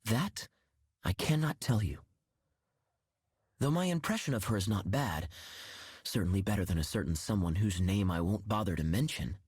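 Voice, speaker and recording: Husky, aloof gentleman. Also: fairly low pitch, no room sound, studio quality. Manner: measured, aloof. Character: haughty, secretive, knowing gentleman. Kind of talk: video game character acting.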